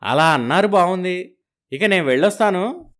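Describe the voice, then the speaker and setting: An actor playing a polite, flattering marriage broker who angles for money in a cartoon story. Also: male voice, high-pitched, moderate pace. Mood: ingratiating. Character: ingratiating marriage broker angling for commission.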